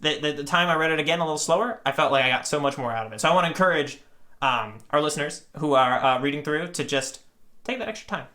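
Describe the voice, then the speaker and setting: A man's voice: a little high, quick pace, bright, forceful tone. A man co-hosting a podcast in casual, rambling, conversational chat. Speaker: podcast co-host.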